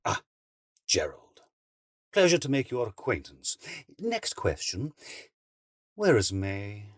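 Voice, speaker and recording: Cruel interrogator, unhurried, theatrical, mock-courteous, very close dry sound, audio drama villain. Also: male voice, on the low side, huge pitch swings, breathy edge, no room sound, exaggerated voice. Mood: polite menace. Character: cruel, politely menacing male villain, monstrous interrogator.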